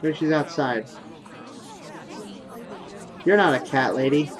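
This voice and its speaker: A man's voice: fairly high pitch, takes it slowly, crowd noise. A man giving casual, rambling commentary as he plays through a video game. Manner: rambling, offhand. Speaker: gaming video host. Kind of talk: casual let's play commentary.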